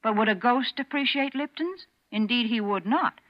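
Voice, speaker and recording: A woman's voice: fairly high pitch, expressive, moderate pace, lightly acted. A woman plays a folksy, homey radio spokeswoman of the 1940s. Her intonation swings widely and emphatically. The sound is dull, like an old broadcast. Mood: cheerful chiding. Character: chatty, prim, homey woman pitching products. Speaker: commercial spokeswoman. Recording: muffled 1940s radio recording.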